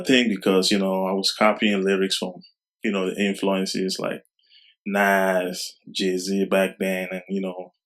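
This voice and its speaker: A young man from Cameroon, raised in Germany, speaks as a rapper in a casual interview. His voice sits low and stays flat, with little rise or fall. He talks softly and haltingly, leaning on "you know". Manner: soft-spoken, halting, many fillers like "you know".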